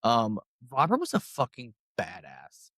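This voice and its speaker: A man's voice: mid-range voice, wildly swooping pitch, a little slow, a bit bright. A man chatting as a podcast co-host about a book, in a mid-range voice. He explains in loose, everyday language and swears now and then. The sound is dry and free of room echo. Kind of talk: casual book discussion chat.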